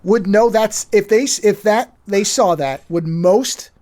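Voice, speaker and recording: A man's voice: high-pitched, a little slow, darkish tone. A man with a New York accent, co-hosting a podcast in casual chat, blunt and swearing freely. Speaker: podcast co-host.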